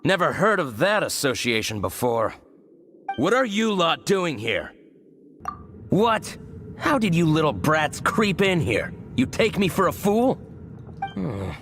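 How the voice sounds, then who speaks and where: Proud male game guard, slow delivery, swooping pitch, blustering, defiant. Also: fairly bright tone, exaggerated voice. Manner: indignant, blustering. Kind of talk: video game voice acting.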